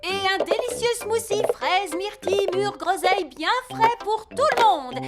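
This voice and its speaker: Une comédienne qui double une lapine adulte affairée et enjouée dans un dessin animé pour enfants, au débit vif et chantant. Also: female voice, very high voice, expressive, unhurried. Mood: cheerful, playful. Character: busy, cheerful adult lady rabbit working many jobs. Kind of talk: children's cartoon dubbing. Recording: loud music behind the voice.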